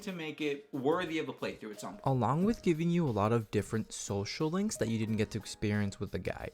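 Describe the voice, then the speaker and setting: A man giving casual, informal commentary on a video game as a reviewer, animated and given to rambling asides. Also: a little high.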